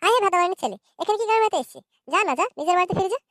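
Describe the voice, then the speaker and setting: Woman as a high-voiced hostile cartoon mother. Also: lively intonation, racing, fairly bright tone, no echo, studio quality. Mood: hostile, dismissive. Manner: gruffly dismissive, insulting. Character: hostile, suspicious mother of a young girlfriend in a cartoon. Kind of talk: comedy cartoon dialogue.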